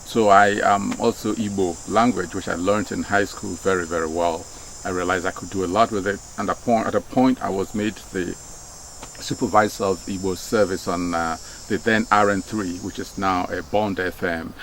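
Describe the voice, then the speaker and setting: Nigerian man, middle-aged, low gravelly voice, unhurried with lots of fillers, faint insect noise behind. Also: steady tone, fairly bright tone, low-quality recording. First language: Nigerian Pidgin. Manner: unhurried, many fillers, rises when stressing a point. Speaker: speaker, former broadcaster. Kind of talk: casual reflective talk.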